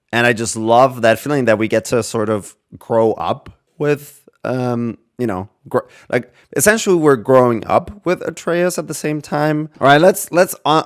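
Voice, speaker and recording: A man's voice: medium pitch, smooth. A man giving casual reaction commentary as a gaming video creator, rambling as he thinks aloud.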